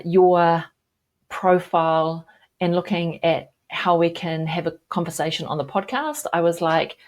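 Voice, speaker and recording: A woman's voice: fairly low pitch, a little mellow. A woman with a New Zealand accent hosting a podcast and introducing her guest in a conversational way. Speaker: podcast host.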